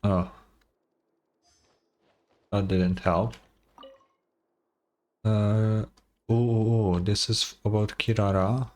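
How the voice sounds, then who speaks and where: A man's voice: fairly low pitch, fairly even intonation, very slow, long pauses, darkish tone. A man giving casual gameplay commentary as a streamer, with offhand muttered reactions and some swearing. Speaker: game streamer.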